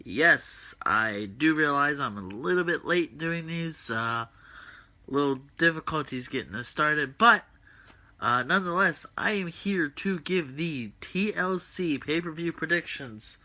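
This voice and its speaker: A man's voice: fairly high pitch, expressive, a little slow, sharp tone. A man with a groggy voice hosting a casual livestream, rambling with many fillers and answering viewers' comments. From West Virginia. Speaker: host of a wrestling predictions stream.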